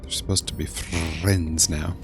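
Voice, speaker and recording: Male game streamer, very low gravelly voice, offhand terse commentary over game music. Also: level tone, a little slow. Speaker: streamer playing a role-playing game. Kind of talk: casual gameplay commentary. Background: video game music.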